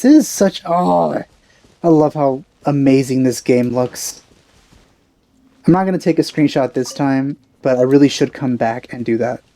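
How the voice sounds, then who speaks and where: Man, mid-pitched, soft mellow tone, casual let's play commentary, thinking aloud. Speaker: let's player.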